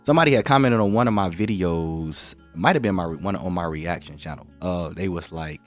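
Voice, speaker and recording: Deep-voiced man hosting a casual livestream. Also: fairly quick, soft background music. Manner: relaxed, direct, slangy, explaining points at length. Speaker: livestream host. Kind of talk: casual livestream talk to viewers.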